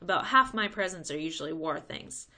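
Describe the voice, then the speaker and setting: A woman voicing a brash, boastful schoolboy in a storybook, with blunt, excitable delivery. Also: lowish voice, brisk, exaggerated voice. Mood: excited boasting.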